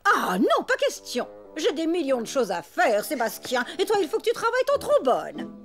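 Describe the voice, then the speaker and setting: A woman's voice: high-pitched, wildly swooping pitch, moderate pace, faint music behind. A woman playing a vain, fussy, theatrical cartoon mother with a pompous, dramatic way of talking. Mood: dismissive. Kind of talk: French cartoon dubbing.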